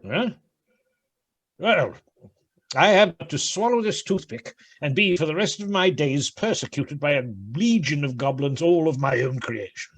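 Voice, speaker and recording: An actor playing a crotchety, skeptical old miser in a radio play, with a querulous, theatrical delivery. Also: male voice, a little high, expressive, unhurried. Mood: scornful, dismissive.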